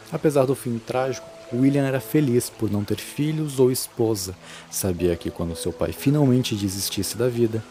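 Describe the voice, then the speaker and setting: A man's voice: medium pitch, mellow. A man giving a solemn, dramatic scripted narration for a horror audio drama.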